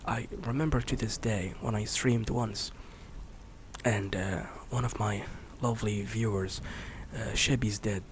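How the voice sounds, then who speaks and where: Soft-spoken young man with clear background noise. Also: fairly low pitch, fairly even intonation, measured pace. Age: 20s. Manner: candid, swears casually, many fillers and restarts; drifts between soft speech and whisper. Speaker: streamer. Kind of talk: soft-spoken rambling vlog.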